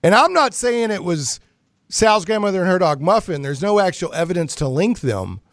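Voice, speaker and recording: A male talk show host with a voice a little higher than most, recorded dry and close. He rambles through casual stories and banter, joking with a mock-serious delivery. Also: very animated, moderate pace, a bit bright, dry recording. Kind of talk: casual chatty radio banter.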